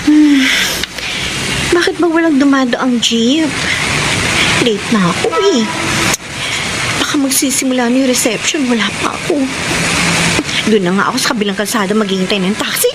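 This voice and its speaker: An actress playing a young, overworked breadwinner elder sister in a radio drama, emotional and worn down. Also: female voice, fairly high pitch, normal speed, long pauses, heavy background noise, natural voice. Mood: worried, impatient. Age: young adult. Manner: emotional, tearful, pleading outbursts. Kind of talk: Tagalog radio drama acting.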